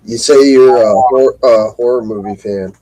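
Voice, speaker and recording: A man hosting a podcast, asking casual interview questions in a relaxed way and swearing now and then. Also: medium pitch, moderate pace, no room sound. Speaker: podcast host.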